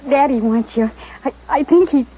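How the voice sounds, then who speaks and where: A woman's voice: sits high, moderate pace, natural voice. A woman playing a frightened, distraught young daughter who pleads for help in a 1950s radio drama. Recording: tinny, hissy old radio recording from off mic.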